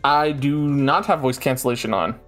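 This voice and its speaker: A man's voice: medium pitch, normal speed, faint music behind. A man hosting a stream from his home setup, chatting casually and reading card rules aloud. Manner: animated, reads rules text aloud in bursts. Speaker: streamer host.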